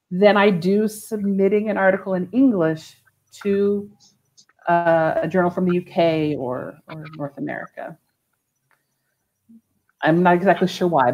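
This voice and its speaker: A woman's voice: medium pitch, poor audio. A woman hosts an interview over a video call, picking her way through her questions with repeated words and restarts. Small nervous laughs break up her sentences, and a faint hiss sits under her voice.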